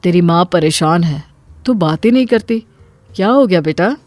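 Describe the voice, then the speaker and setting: A woman's voice: fairly low pitch, lively intonation, moderate pace, a little mellow, no echo, lightly acted. A woman voicing a stern middle-aged father in a cartoon story, who scolds his spoiled daughter and his wife. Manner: scolding, blunt.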